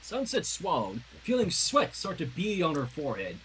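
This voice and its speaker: A man's voice: on the high side, normal speed, soft background music, rough recording. A man reading fanfiction aloud on a livestream, narrating theatrically with joking asides. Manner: theatrical, animated.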